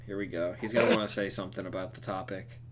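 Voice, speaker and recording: A man's voice: low voice, steady tone, quick pace, a crowd in the background. A man hosting a casual fan discussion, informal and agreeable with some teasing.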